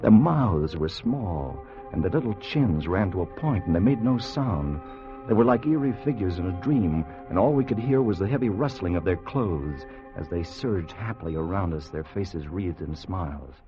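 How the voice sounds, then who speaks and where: An actor playing an anxious, timid time traveller who also narrates, in an earnest, dramatic 1950s radio style. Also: male voice, sits low, lively intonation, normal speed. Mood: hushed wonder. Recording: dull vintage radio recording with music.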